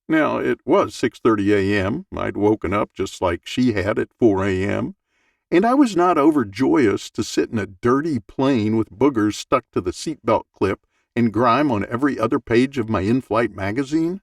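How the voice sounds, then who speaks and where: Male audiobook narrator, sixties, gravelly with vocal fry, wry storyteller, dry booth sound at slight distance from the microphone. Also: mid-range voice, lively intonation, normal speed, dry recording. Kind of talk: expressive audiobook narration of a humorous first-person essay. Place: small dark home recording booth, dry.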